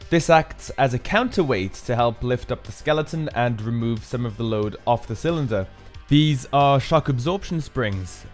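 A man giving a casual, explanatory how-to narration as a maker presenting his build. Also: medium pitch. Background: background music.

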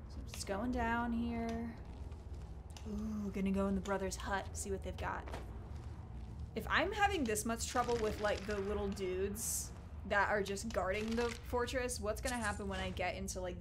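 A young woman playing a video game with a headset, jittery and excitable, swinging from loud yelps to hushed muttering. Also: medium pitch, background noise. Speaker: gamer. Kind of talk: let's play commentary. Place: small room indoors. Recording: game music loud behind voice. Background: game music.

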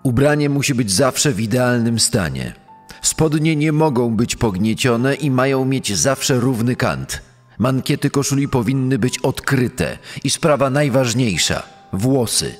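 An actor playing a smug, vain condemned murderer of about forty, speaking in a measured, self-assured, commanding way for a true-crime podcast dramatisation. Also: male voice, medium pitch, animated. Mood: vain, exacting. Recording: long reverb over music. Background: music.

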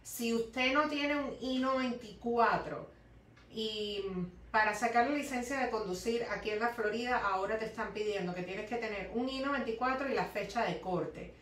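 A woman's voice: a little high, normal speed, a bit bright, slight background noise. Cuban woman lawyer in roomy office. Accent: Cuban Spanish. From Cuba. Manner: explanatory, reads questions aloud, mixes in English words. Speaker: immigration lawyer. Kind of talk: live Q&A, answering viewer questions. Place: law office, indoors. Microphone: webcam at desk distance. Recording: echoey office, voice a bit far from mic.

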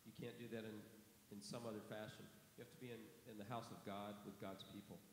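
Low-voiced man giving testimony. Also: flat delivery, fast. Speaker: panel guest. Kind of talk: personal testimony. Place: church stage, large hall. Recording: echoey hall with faint hiss.

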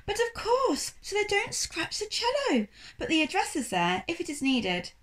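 Storybook French shopkeeper played by a woman: courteous, vague, paunchy man, French accent, high animated delivery. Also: normal speed, full character voice. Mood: matter-of-fact.

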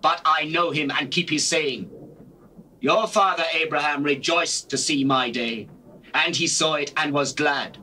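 An authoritative holy teacher in a dramatized scripture recording. His voice is highish, bright and forceful, declaiming solemnly over faint tape noise. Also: unhurried. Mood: firm, solemn. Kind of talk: dramatized scripture reading, stage-like acting. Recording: noisy old cassette tape recording.

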